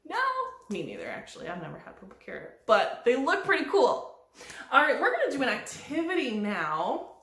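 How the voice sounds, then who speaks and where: Animated woman hosting children's storytime, very echoey. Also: a little high. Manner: sing-song, encouraging, asks the children questions. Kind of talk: interactive children's storytime and activity. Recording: very echoey, roomy sound.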